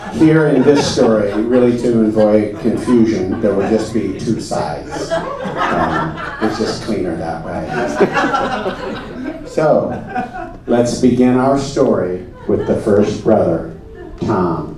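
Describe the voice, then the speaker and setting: A man narrating a comedy puppet show on stage with mock solemnity, deadpan, pompous and halting, in a very echoey, distant recording. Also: on the low side. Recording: very echoey, distant mic, music behind.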